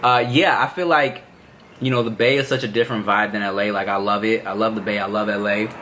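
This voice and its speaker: A man's voice: lowish voice, fairly even intonation, fast, pushed and bright, slight background noise. A man, hosting a casual podcast chat in a laid-back, slangy way. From Los Angeles, raised there. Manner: laid-back slangy talk, many asides. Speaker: podcast host.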